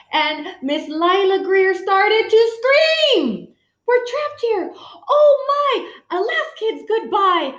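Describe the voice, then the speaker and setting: A woman in her thirties reading a picture book aloud dramatically, speaking to young listeners. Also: high-pitched. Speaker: children's story reader. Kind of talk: expressive picture-book read-aloud with asides. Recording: dull sound in echoey room.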